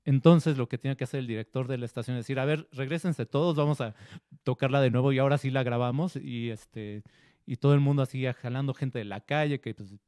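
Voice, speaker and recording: A Mexican man with a mellow, dark voice talks about cinema as a film critic. He tells stories with enthusiasm, full of "este" and "eh" fillers. The sound is dry and close, with no room echo. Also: mid-range voice, fairly even intonation, quick pace, smooth. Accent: Mexican Spanish. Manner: enthusiastic storyteller, many fillers. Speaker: film critic, magazine editor. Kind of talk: casual film discussion.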